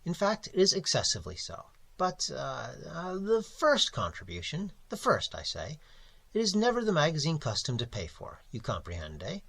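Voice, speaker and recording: A man with a husky voice voicing an elderly, evasive magazine editor who talks blandly and fussily, with sudden loud swells. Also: fairly high pitch, huge pitch swings, moderate pace, heavily acted. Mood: bland, wheedling.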